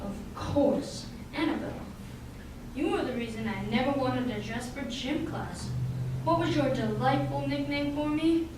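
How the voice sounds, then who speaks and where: A woman's voice: high-pitched, measured pace. A woman playing a formal, gracious man with a barbed tongue, in a theatrical radio drama style. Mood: polite, barbed. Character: Peter Edwards, successful former schoolboy, gracious reunion host with barbed tongue. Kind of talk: stage radio drama acting. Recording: very echoey hall, distant mic, clear noise.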